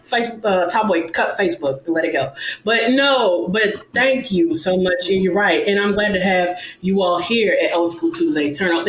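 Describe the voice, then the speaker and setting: Effusive woman host, roomy sound. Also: fairly low pitch. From Kansas City, US Midwest. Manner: enthusiastic, effusive thanks. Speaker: show host. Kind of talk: live online interview show. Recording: roomy echo.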